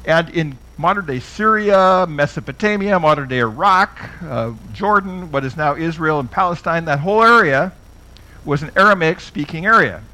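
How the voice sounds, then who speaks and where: A man's voice: on the high side, expressive, normal speed, pushed and bright, poor audio. Man teaching a Bible class. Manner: conversational, asks the audience questions.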